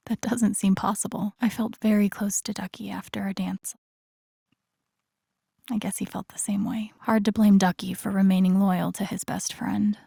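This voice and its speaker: A woman playing a wry, wounded, introspective young game heroine, speaking soft-spoken inner monologue in a naturalistic, breathy, slightly creaky voice. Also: medium pitch, no room sound, clean studio sound. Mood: quiet, hurt.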